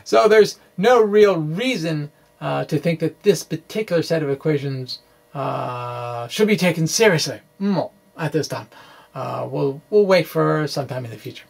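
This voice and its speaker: A middle-aged man talks through technical material in an informal way. His voice sits a little high for a man and breaks often into creak. He proceeds haltingly, with a wry, self-deprecating slant. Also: animated, darkish tone. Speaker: physics blogger and presenter. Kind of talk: informal explanation, reading own blog aloud. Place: small room with bookshelves, indoors. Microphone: microphone on stand in front of him.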